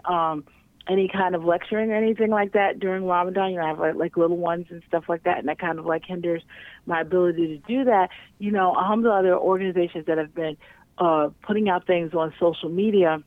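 A woman's voice: medium pitch, no room sound. Woman, guest, chatty with fillers, dry tinny phone audio. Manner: chatty, with many fillers and restarts. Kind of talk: casual chat.

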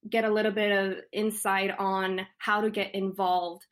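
A woman's voice: medium pitch, level tone, normal speed. A young woman co-hosting a student podcast, asking questions in a friendly manner with frequent um fillers.

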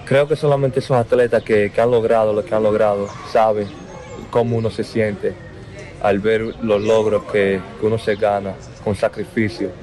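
Low, flat-voiced Dominican young man. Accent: Dominican Spanish. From Dominican Republic. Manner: slow, halting, repeats words. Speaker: basketball player interviewed. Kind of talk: outdoor interview.

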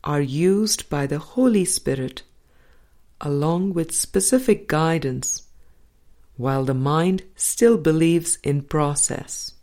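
A woman reading a spiritual book aloud as an audiobook narrator, in measured, deliberate phrases with pauses. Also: deep voice, lively intonation, warm tone. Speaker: audiobook reader.

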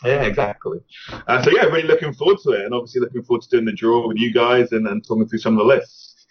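A man's voice: medium pitch, fast, slight background noise. A man with a Scottish accent, talking casually as a podcast guest and often restarting his sentences. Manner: rambling with frequent restarts. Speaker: podcast guest, tournament organizer. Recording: slightly muffled podcast audio.

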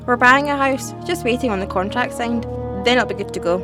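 A young woman playing a dry, wary teenage girl who is new at school, deadpan, in a comic audio drama. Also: on the high side, brisk, over music, lightly acted. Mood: uneasy, polite. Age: young adult. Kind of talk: light comedic audio drama dialogue.

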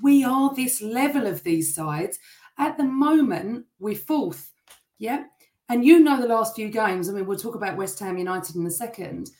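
A woman on a football fan panel, talking in an animated, emphatic way and cutting in on the others. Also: fairly high pitch, moderate pace, roomy sound. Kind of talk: casual football fan chat.

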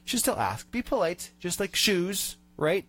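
A man's voice: high voice, sing-song, a little slow, a bit bright. A man hosting a radio show in a studio, nasal from a cold, chatting and reading tips aloud with small rants. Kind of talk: casual radio chat.

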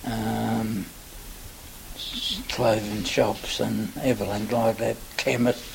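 An older Australian man, in his seventies or beyond, reminiscing in a halting, rambling way for an oral history interview. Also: lowish voice, flat delivery, vocal fry, rough recording. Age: older, 70s or above. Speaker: interviewee recalling local history. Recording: faint hiss, amateur interview recording.

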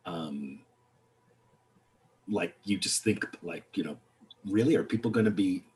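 A playwright talking about his work on a video call, reflective and halting, restarting his sentences often. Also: low voice, lively intonation, darkish tone. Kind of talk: panel discussion over video call.

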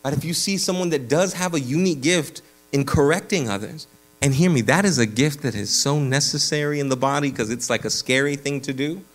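A pastor preaching a sermon in a church, casual and energetic, with slang and frequent restarts. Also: male voice, on the high side, brisk. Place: church sanctuary.

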